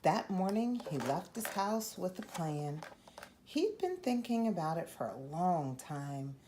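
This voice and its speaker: Very animated woman reading a storybook aloud. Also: lowish voice, measured pace, slight background noise. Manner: animated, acts out the dialogue. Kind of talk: expressive read-aloud narration.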